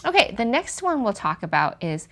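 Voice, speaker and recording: A woman teaching a language in a casual chat, slowing down to model example sentences clearly. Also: fairly high pitch. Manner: animated, slows down for model sentences.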